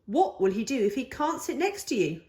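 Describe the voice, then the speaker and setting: A woman's voice: a little high, very animated, brisk, dark tone, echoey room, voice pushed up. A woman voicing a patient, persuasive young boy, the older brother in a storybook, coaxing and reasoning. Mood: patient, reassuring.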